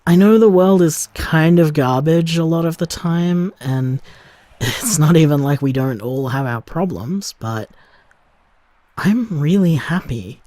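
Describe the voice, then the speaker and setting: An actor playing a tender, contented bar owner in love, speaking reflectively in an audio drama voicemail. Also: male voice, fairly high pitch, a little slow, no echo, lightly acted. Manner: soft-hearted, reflective, conversational.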